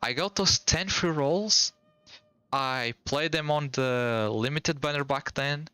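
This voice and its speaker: A man's voice: a little high, takes it slowly, sharp tone, smooth, no room sound, faint music behind. A young man with a Portuguese accent, a native Portuguese speaker, chatting casually with viewers while streaming. He rambles in a loose, slangy way and restarts often. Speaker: game streamer.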